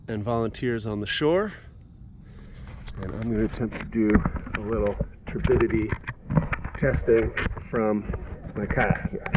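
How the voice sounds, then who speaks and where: Low-voiced man explaining from a kayak. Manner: relaxed, explanatory, thinking aloud with fillers. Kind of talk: casual outdoor demonstration. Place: kayak on a lake outdoors. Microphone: action camera at arm's length. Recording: noisy outdoor camera audio.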